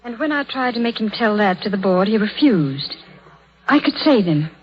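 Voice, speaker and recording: Earnest, husky young 1930s radio heroine. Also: female voice, mid-range voice, big pitch swings, normal speed. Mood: troubled, defiant. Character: earnest, troubled, strong-willed young woman in love. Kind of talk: 1930s radio drama acting. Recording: dull, lo-fi 1930s radio recording.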